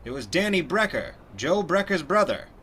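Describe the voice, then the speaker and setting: An actor as a high-voiced, animated policeman. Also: normal speed, breathy edge, heavily acted. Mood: brisk, blunt. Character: blunt, excitable male police officer. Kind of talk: 1930s radio drama acting.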